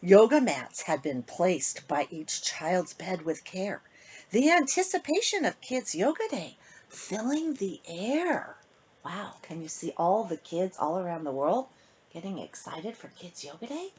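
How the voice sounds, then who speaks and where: Animated, slightly husky woman reading to children. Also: fairly low pitch, unhurried. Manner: animated, chatty asides to young listeners. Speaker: children's book author reading aloud. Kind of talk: casual intro and expressive storybook reading to children.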